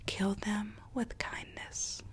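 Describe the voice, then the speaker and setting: A woman voicing a conspiring, troubled Alice in an ASMR roleplay, in a hushed breathy whisper with a coaxing, quietly sinister manner. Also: mid-range voice, little pitch movement, slow pace, natural voice. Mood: coaxing, scheming. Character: whispering mad Alice, conspiring captor seeking her true self.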